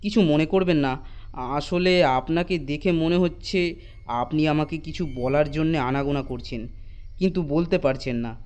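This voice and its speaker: A man voicing a concerned, stern father of a young girl in an animated ghost story, speaking in a firm, questioning way. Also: a little high, normal speed, clean tone, lightly acted. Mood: earnest, polite.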